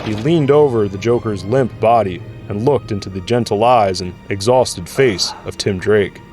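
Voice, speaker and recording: A man's voice: lowish voice, normal speed, a little mellow. A man reading scripted dramatic narration for an audio drama. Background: music.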